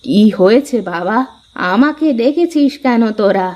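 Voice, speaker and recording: A woman's voice: fairly high pitch, expressive, unhurried, mellow. A woman voicing an elderly, patient mother-in-law in a cartoon, soothing and advising her family. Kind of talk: Bengali cartoon voice acting.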